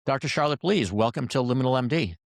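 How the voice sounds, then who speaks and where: A man's voice: mid-range voice, animated, quick pace, creaky, no room sound, clean studio sound. A man who hosts a podcast and works as a doctor, talking to his guest in a friendly, conversational way with frequent fillers. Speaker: podcast host and physician. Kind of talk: podcast interview.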